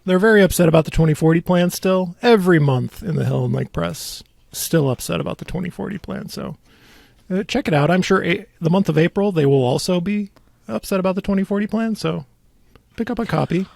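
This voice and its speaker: Gravelly, creaky man chatting on a podcast. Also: high-pitched, a little fast, darkish tone, no echo. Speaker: podcast host. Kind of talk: casual local-politics podcast chat.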